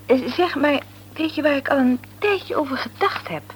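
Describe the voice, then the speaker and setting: A woman's voice: high voice, normal speed, a little hiss or hum, natural voice, low-quality recording. An actress playing a chatty, frank, opinionated woman in a Dutch radio play, with an emphatic, reassuring manner. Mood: chatty, earnest. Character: Maartje, chatty, frank, sociable sister-in-law and family friend. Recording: radio drama recording.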